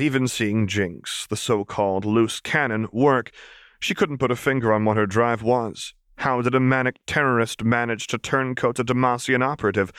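A man's voice: fairly low pitch, no echo, clean studio sound. A man reading dramatic fiction aloud as an audiobook narrator.

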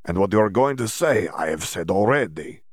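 A man voicing a weary, blunt young wizard who speaks in halting, non-native grammar with a sighing manner. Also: on the low side, lively intonation, dry recording, clean studio sound.

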